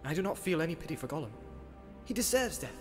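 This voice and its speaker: A man's voice: a little high, sing-song, quick pace, soft background music, pitched above the performer's own voice. A man voicing an urgent, unforgiving hobbit as a remembered voice in a fantasy story. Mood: bitter.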